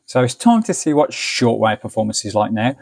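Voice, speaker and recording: English guy doing a laid-back gadget review, bit of fry in the voice, close dry sound. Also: mid-range voice, sing-song, a little fast, a little mellow, no echo. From United Kingdom. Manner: colloquial, easygoing step-by-step commentary. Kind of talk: casual hands-on product review.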